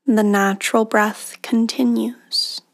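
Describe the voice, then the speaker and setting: A woman leading a guided meditation in a hushed, breathy, soothing delivery with long pauses between phrases. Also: fairly high pitch, level tone. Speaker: meditation guide, podcast host.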